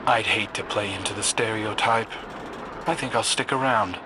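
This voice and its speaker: A man's voice: fairly low pitch, moderate pace, noisy background, close to an everyday voice. A man playing a cocky, independent adventurer in sardonic, casual game dialogue. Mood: wry, defiant.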